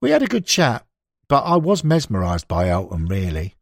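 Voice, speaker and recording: A man's voice: on the low side, huge pitch swings, normal speed, vocal fry, no echo, studio quality, full character voice. A man reading the interview quotes of a frank football manager, with blunt, emphatic, conversational storytelling in an audiobook. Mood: animated recollection. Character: frank, animated male football manager reminiscing. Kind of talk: acted interview quotations in an audiobook.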